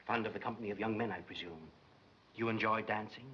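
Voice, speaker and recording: An actor playing a stern, vindictive schoolmaster who questions in an insinuating, imperious way, in the manner of old film drama. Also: male voice, on the low side, a little fast, natural voice. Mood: prying, insinuating. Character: stern bullying schoolmaster, prying and vindictive inquisitor. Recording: dull, noisy old film soundtrack.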